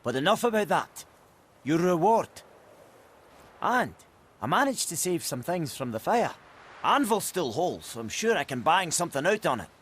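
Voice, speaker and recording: An actor playing a blunt, foul-mouthed, grumpy dwarf blacksmith in a fantasy video game. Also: male voice, on the high side, big pitch swings, moderate pace, fairly bright tone, slightly rough, heavily acted. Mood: grumbling, businesslike. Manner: blunt, salty.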